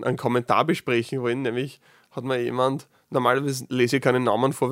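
A man's voice: medium pitch, no room sound. Chatty Austrian-dialect man, dry close sound. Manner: chatty, thinking aloud with frequent restarts. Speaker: podcast host. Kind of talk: casual solo monologue.

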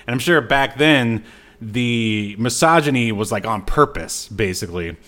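Man, mid-pitched voice, podcast co-host, casual movie recap, halting phrasing, asides. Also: lively intonation, fairly bright tone. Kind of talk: casual film recap and commentary.

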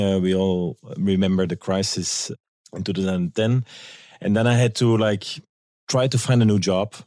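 A man's voice: on the low side, dark tone, dry recording, studio quality. A man from Belgium with a Belgian accent, telling anecdotes conversationally as an interview guest and acting out quoted dialogue. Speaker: guest, tour guide and business owner.

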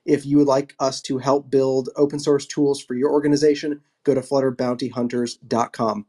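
A man hosting a casual online discussion panel, wrapping up and thanking speakers in a relaxed, rambling way. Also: medium pitch, normal speed. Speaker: host.

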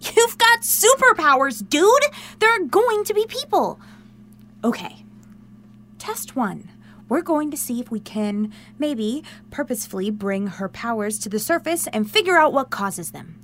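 A woman playing an eager, bossy, nerdy teenage girl in a radio drama, very animated. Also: high voice, measured pace. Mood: excited.

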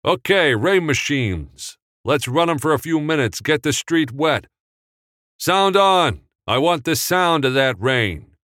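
A man voicing a commanding male film director who shouts orders on set. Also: lowish voice, very animated, unhurried, no room sound, studio quality, pitched above the performer's own voice, exaggerated voice. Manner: barking, emphatic.

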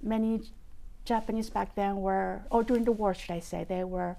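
A woman's voice: medium pitch, steady tone, normal speed, creaky, warm tone. A woman in her fifties from Peru, a native Spanish speaker, recalling her life as an interview guest. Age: 50s. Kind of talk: personal recollection in an interview.

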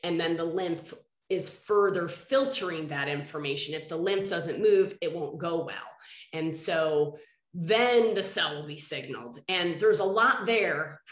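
A woman's voice: fairly low pitch, a little slow, roomy sound. A woman hosting a podcast interview, a little nasal and congested from a head cold, talking earnestly and rambling with restarts. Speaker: podcast host. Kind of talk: casual interview chat.

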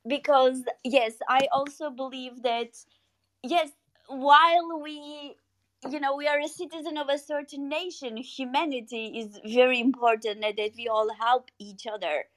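A woman speaks earnestly as a caller. Her voice is high, and she talks in a measured way with small fillers as she gathers her thoughts. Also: steady tone, fairly bright tone. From Turkey. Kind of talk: earnest call-in comment.

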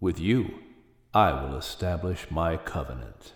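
A man's voice: sits low, animated, unhurried, reverberant, in a large hall, pitched below the performer's own voice. A man voicing God in an audiobook with a grave, solemn manner. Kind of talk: audiobook character reading.

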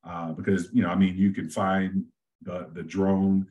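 A middle-aged man, a real estate agent, talking at length and easygoing in a casual interview, with a deep voice he says suits radio. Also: little pitch movement, unhurried, warm tone, highs cut off. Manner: easygoing, talks at length with many fillers and restarts.

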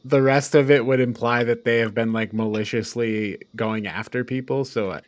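A man's voice: medium pitch, fairly even intonation, moderate pace, no room sound. A man co-hosting a podcast, talking casually about a film. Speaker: podcast co-host.

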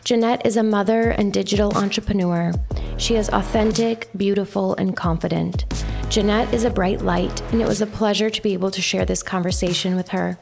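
A woman co-hosting a podcast reads her introduction in an even, measured way, with noticeable vocal fry. Music plays clearly behind her. She also asks the guest interview questions. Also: mid-range voice. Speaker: podcast co-host.

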